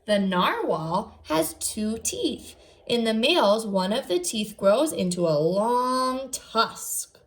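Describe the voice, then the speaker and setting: A woman's voice: a little high, very animated, slow. A woman teacher reading aloud to young children, enunciating carefully and adding short explanatory asides. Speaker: first grade teacher.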